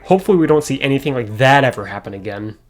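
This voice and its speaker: A man with a hoarse voice recapping episodes of an old show as a review host, with dry, sarcastic asides. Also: mid-range voice, expressive, racing, faint music behind. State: hoarse after a concert.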